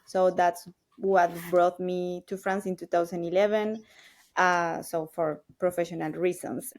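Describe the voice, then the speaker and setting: A woman's voice: fairly low pitch, level tone, measured pace. A woman around forty from Colombia, a native Spanish speaker with a Colombian accent, talking conversationally as a guest. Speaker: guest, marketing professional. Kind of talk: conversational self-introduction.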